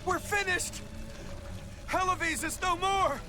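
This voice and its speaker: A man's voice: very high-pitched, fairly even intonation, slow pace. A man playing a dramatic character in a fantasy video game. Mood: despairing. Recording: game music behind. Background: music.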